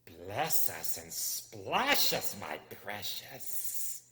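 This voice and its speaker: Small slimy storybook creature: throaty hissing whisper, very slow, sly and hungry, drenched in cavernous reverb. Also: male voice, on the low side, huge pitch swings, bright, forceful tone, exaggerated voice. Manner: slow, muttering to himself. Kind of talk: storybook character voice. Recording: echoey, long reverb.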